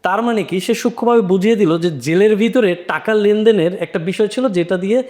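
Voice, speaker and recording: A man's voice: high-pitched, brisk, a little mellow. A man speaking as a panelist in a literary discussion, explaining his points and illustrating them with quotes. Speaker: discussion panelist and audio drama maker.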